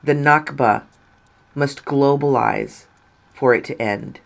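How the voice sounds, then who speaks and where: A woman narrating a written article in a deliberate, measured way, stressing phrases and letting endings fall. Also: very deep voice, vocal fry.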